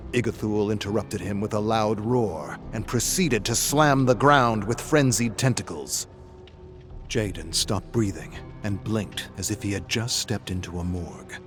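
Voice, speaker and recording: A man reading scripted horror fiction as a narrator, in a dramatic, suspenseful style. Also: lowish voice.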